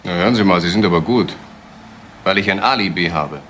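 A man's voice: low-pitched, a little fast, fairly bright tone, slight background noise. A male actor playing a bitter, sardonic adult son in a German crime radio play, mocking and insinuating. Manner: mocking, insinuating, with rhetorical questions.

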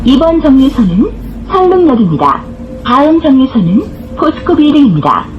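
A woman's voice: sits high, sing-song, normal speed, highs cut off, faint music behind. A woman reading scripted bus stop announcements in a formal, carefully enunciated way, recorded inside a bus. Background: bus interior ambience.

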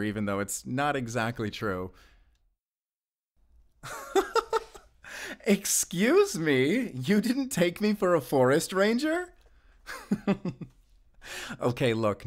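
Guy acting as a bashful forest ranger dad, friendly n chatty, gentle teasing, audio roleplay, mid pitch. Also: lightly acted. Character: friendly, bashful male wildlife-tour forest ranger and doting father.

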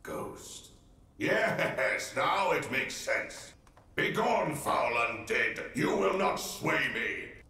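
An actor playing a haughty, wrathful undead knight lord in a video game, pompous and archaic, with an echoing spirit effect. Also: male voice, a little high, sing-song, very slow, pushed and bright, full character voice. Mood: suspicious, outraged. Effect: echoing spirit reverb. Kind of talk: video game character acting. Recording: strong echo, distant sound.

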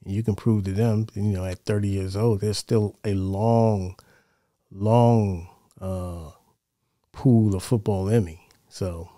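Male American sports host, middle-aged, lowish relaxed voice, measured and conversational delivery. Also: no room sound, clean studio sound. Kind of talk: casual sports commentary. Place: small home studio, indoors. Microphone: close broadcast mic on boom arm.

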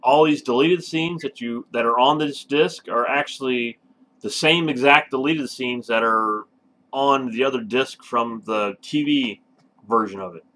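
A man's voice: medium pitch, unhurried, fairly bright tone, rough recording. A man co-hosting a casual home-video review show, talking with emphatic enthusiasm. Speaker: movie review show host.

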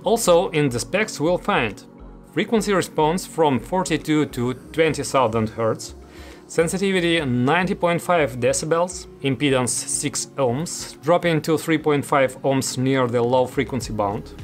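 A man's voice: on the high side, animated, measured pace. A man in his thirties giving a scripted product review as host, speaking to camera in an indoor room. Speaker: audio gear reviewer, host. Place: small studio room, indoors. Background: music.

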